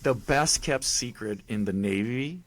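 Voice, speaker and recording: A man's voice: mid-range voice, measured pace, dry recording. A middle-aged man from Puerto Rico with a Puerto Rican accent, a native Spanish speaker telling stories emphatically as a podcast guest. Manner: animated, emphatic storytelling. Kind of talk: casual storytelling interview.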